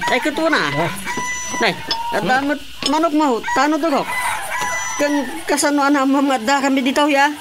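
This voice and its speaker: A blustering, crooked village councilor in a comedic radio drama. He is excitable and easily rattled, tripping over his words. The recording has clear background noise and effects. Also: very high-pitched, unhurried, long pauses. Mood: flustered, panicky. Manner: excitable, stammering when cornered.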